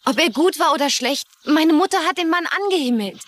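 Eine Schauspielerin spielt in einem synchronisierten TV-Drama eine junge, verschlossene und ängstliche Tochter, die angespannt und abwehrend spricht. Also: female voice, high voice, lively intonation, a little fast, fairly bright tone. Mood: anguished, firm. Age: young adult. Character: anxious, guarded young daughter hiding a secret. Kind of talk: dubbed TV drama dialogue in German.

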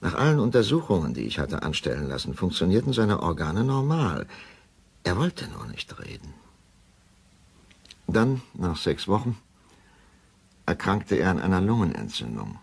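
An actor playing a grave, obsessive doctor confessing in a brooding, deliberate radio drama monologue. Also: male voice, low-pitched, big pitch swings, vocal fry, husky, full character voice.

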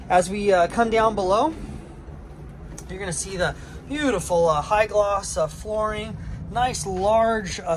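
A middle-aged man, a yacht broker, walks through a sailboat and lists its features in a relaxed way, with lots of "uh" fillers. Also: high voice, a little hiss or hum. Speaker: yacht broker giving a walkthrough tour. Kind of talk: casual walkthrough narration. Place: aboard a sailboat in a marina, on deck and below. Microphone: handheld camera, first-person view.

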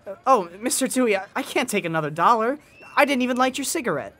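Earnest, hesitant young bellboy. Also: female voice, a little high, expressive, fast, a bit bright. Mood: hesitant, nervous. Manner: hesitant, eager, deferential. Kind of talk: 1920s-style radio drama acting.

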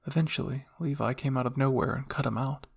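A man reading fan fiction aloud as dramatic first-person story narration, moving between hushed and intense delivery. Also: medium pitch, fairly even intonation, quick pace, gravelly, husky. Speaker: audio fan fiction reader.

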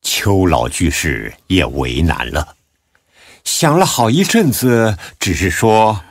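A man with a deep, husky voice giving solemn, measured and expressive scripted narration of a Buddhist audio drama. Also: no room sound, studio quality.

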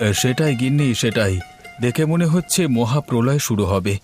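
A man's voice: medium pitch, expressive, normal speed, darkish tone, faint music behind, lightly acted. A man playing a fretful, hospitable village householder in a cartoon, homely and exclamatory in his talk. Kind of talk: cartoon dialogue.

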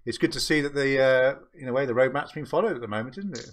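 A man's voice: medium pitch, fast talker. A man hosting a casual sailing chat over a video call, easygoing and fond of tag questions. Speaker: show host.

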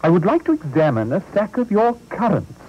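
A man's voice: high-pitched, huge pitch swings, fairly quick, pitched above the performer's own voice, heavily acted. Self-important storybook detective played by a man, ponderous, raised voice, dull audio. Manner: prim, ponderous, murmuring hmm.

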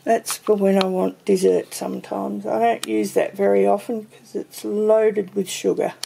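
A woman's voice: mid-range voice, level tone, unhurried, creaky, dark tone, a little hiss or hum, rough recording. A woman giving a casual, meandering tour of her home pantry, thinking aloud as she films her shelves. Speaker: homesteading vlogger.

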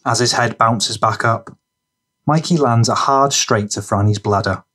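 Husky male audiobook narrator. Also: medium pitch, a little slow, fairly bright tone. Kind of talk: scripted narration of gritty crime fiction.

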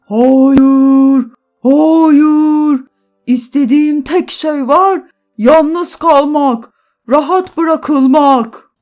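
A woman's voice: high-pitched, very slow, a little mellow, soft background music, voice pushed up. A woman voicing a big, grumpy male bear in a children's story, curt and irritable, with growling outbursts. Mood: furious. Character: big grumpy male bear who wants to be left alone.

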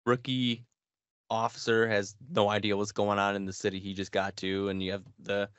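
A man in his thirties with a fairly low voice chats informally as a podcast co-host. He is recorded close and dry on a headset, with a slightly muffled, lo-fi tone. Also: completely flat delivery, normal speed, a bit bright, clean tone, dry recording. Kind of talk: casual gaming podcast chat. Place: small home room. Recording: dull, lo-fi dry audio.

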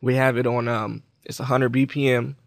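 A man presenting a software tutorial in an informal, conversational way, explaining things step by step. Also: medium pitch, level tone, moderate pace, vocal fry, over loud music. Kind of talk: casual software tutorial walkthrough.

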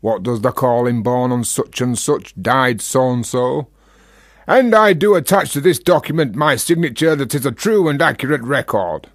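A man acts a Yorkshire philosopher in a radio drama. He talks in rhetorical flourishes and teases as he provokes, in a mid-pitched voice recorded close and dry. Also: moderate pace, no room sound, lightly acted. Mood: argumentative, expansive. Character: talkative Yorkshire freethinker, teasing and philosophising. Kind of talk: radio play acting.

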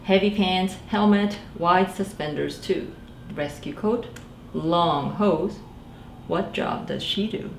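A woman in her forties, a children's librarian, reading a picture book aloud in a gentle sing-song way and asking the children to guess. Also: on the low side, slow pace, echoey room, background noise. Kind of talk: storytime read-aloud for young children. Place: small library room indoors.

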